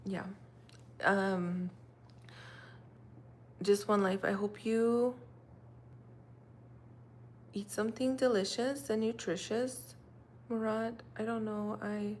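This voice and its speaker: A woman's voice: medium pitch, steady tone, slow pace, long pauses, a little mellow. A young woman, a native Russian speaker, chatting casually on a livestream, rambling with lots of fillers. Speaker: livestream host. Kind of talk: casual livestream chat with viewers. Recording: faint background noise.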